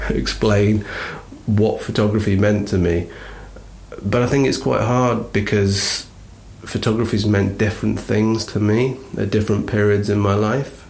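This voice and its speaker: A man's voice: lowish voice, vocal fry, a little mellow, slight background noise. A man in his late thirties from Birmingham, England, slightly nasal with a cold, reflecting hesitantly on his own life. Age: late 30s. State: getting over a cold. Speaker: photographer, podcast guest. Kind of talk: reflective personal monologue.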